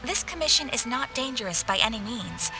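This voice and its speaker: Courteous, high-voiced young messenger. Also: female voice, expressive. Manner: formal, courteous, measured. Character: polite, composed young female messenger and assistant. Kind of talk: video game voice acting. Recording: music behind the voice.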